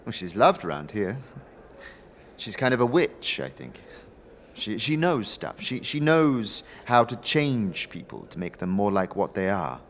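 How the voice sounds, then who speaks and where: A man's voice: on the low side, very animated, measured pace, slight background noise. A man playing a nervous, melancholy young worker in an audio drama, hesitant and stammering, with background music. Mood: halting, awed. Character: nervous, subdued, melancholy young male worker, simple and grateful.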